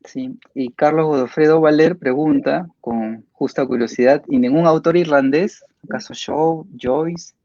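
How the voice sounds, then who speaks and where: Gravelly man, dry muffled sound. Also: a little high, a little slow, hardly any pauses, a little mellow, no room sound. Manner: polite, formal. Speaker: interviewer, host. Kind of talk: literary interview hosting.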